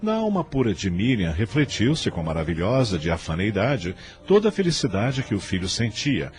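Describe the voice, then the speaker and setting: A Brazilian man narrates a radio story. His voice is fairly low with richly varied intonation, delivering scripted drama over faint music. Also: wildly swooping pitch, normal speed.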